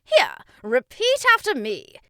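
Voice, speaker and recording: A woman's voice: sits high, huge pitch swings, slow pace, a bit bright, no room sound, studio quality, pitched above the performer's own voice, heavily acted. A woman voicing an exuberant, encouraging king in a children's story, exclaiming and coaching enthusiastically. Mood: pleasant, insistent. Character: exuberant, encouraging magical king, a pleasant male teacher.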